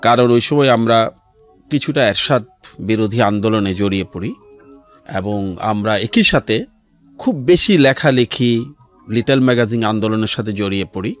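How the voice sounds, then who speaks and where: A middle-aged man with a Bangladeshi accent telling his life story as an interview guest, in a measured way with pauses and some English words mixed in. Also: fairly low pitch, animated. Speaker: interview guest, civil servant. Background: background music.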